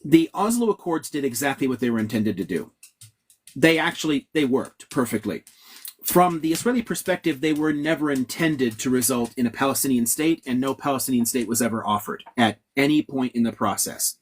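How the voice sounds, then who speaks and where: A man's voice: mid-range voice, lively intonation, brisk, breathy edge. A man giving blunt, profane answers as a guest historian in an interview, lecturing with emphatic asides.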